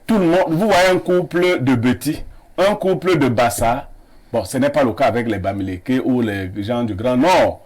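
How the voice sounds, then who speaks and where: A man's voice: mid-range voice, expressive, normal speed, darkish tone. Cameroonian man, proud and expansive. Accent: Cameroonian French. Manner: expansive, listing names with playful pride. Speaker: panel guest. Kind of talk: studio debate chat. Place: TV studio.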